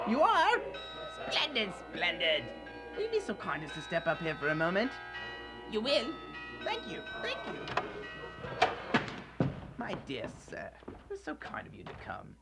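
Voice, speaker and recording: A woman playing an old male miser reborn as a giddy, generous soul in a radio drama, exclaiming and repeating phrases excitedly. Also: a little high. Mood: humbly grateful.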